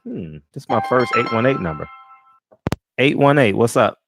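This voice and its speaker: A man's voice: mid-range voice, animated, normal speed, gravelly, over music. A man in his forties hosting a call-in show, chatting casually with callers in a laid-back, joking, slangy way. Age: 40s.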